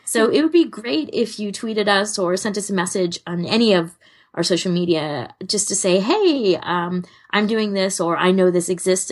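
A woman co-hosting a podcast in casual chat, with an enthusiastic manner and a husky voice. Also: lowish voice. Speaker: podcast co-host.

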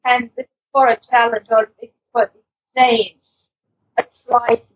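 High, very raspy woman chatting. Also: little pitch movement, unhurried, dull audio. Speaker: podcast panelist. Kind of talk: casual chat.

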